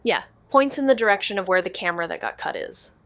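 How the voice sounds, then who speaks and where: An actress playing an observant, understated investigator in a noir audio drama, measured and deadpan in narration and dialogue. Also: female voice, mid-range voice, dry recording.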